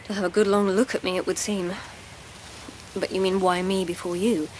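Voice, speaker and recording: A woman's voice: fairly low pitch, flat delivery, moderate pace, a little mellow, a little hiss or hum, lightly acted, poor audio. An actress playing a wry court lady in a radio drama, conversational and pointed.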